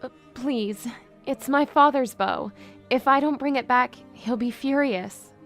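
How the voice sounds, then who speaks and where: Spirited young fairy-tale princess played by a woman, polite yet bold, fairly high voice over soft music. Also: a little slow. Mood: cool, firm. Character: spirited young princess posing as a lady's maid. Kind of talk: fan audio drama acting.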